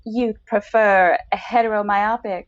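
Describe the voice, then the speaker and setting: A woman's voice: medium pitch, steady tone, unhurried, muffled recording, natural voice. A woman reading a short story in the role of a mysterious older lover with many personalities, teasing and unbothered. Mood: teasing, knowing.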